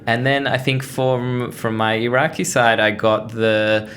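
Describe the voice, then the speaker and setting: A man's voice: mid-range voice, steady tone, a little slow, a bit bright, a little hiss or hum. A middle-aged man with an Australian accent, telling his story as a podcast guest in a chatty, self-deprecating way with frequent restarts. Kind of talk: casual interview storytelling.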